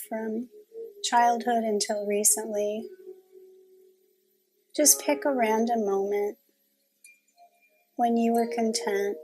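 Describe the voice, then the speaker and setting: A woman leading a guided meditation in a calm, gentle, unhurried manner with long pauses. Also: mid-range voice, background noise.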